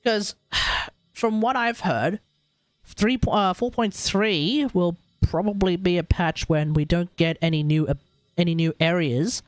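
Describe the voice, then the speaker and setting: A man's voice: sits high, measured pace, no echo, faint music behind. An Australian man giving casual, rambling game commentary on a livestream, often talking to himself.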